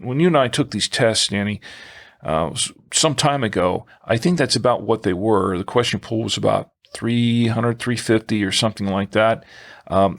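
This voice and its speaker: Male podcast host, halting conversational delivery. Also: fairly low pitch. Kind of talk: conversational podcast hosting with listener mail.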